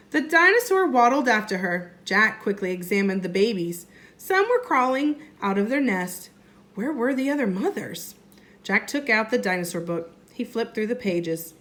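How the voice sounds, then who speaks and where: A woman, a first grade teacher, reading a storybook aloud expressively and deliberately for young children. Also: medium pitch, very animated, fairly bright tone.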